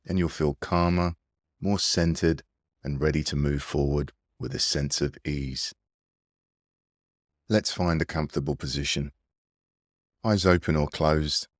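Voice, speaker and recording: A man leading a guided breathing meditation in a soothing, gentle, unhurried manner. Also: a bass voice, no echo, studio quality. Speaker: meditation guide and mindfulness coach.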